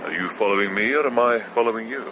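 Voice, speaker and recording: A man's voice: on the low side, fast, natural voice. An actor playing a flirtatious, sardonic man in a radio drama, with a wry, abrupt manner. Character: flirtatious, sardonic male cruise passenger. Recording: dull radio recording, noisy background.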